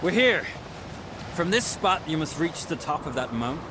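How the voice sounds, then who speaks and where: A man's voice: fairly high pitch, very animated, normal speed, background noise. An actor playing an eager, hearty young male warrior in a game cutscene. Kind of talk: video game cutscene acting. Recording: game cutscene with loud score. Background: loud orchestral music.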